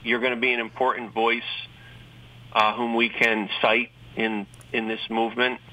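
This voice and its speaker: A man with a New York accent talks in a bright, forceful tone as a guest on a talk panel. He keeps things chatty and drops joking asides, restarting phrases often. His voice comes from a little way off the mic. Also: medium pitch, level tone, normal speed, far from the mic, low-quality recording. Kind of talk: conversational talk show remarks.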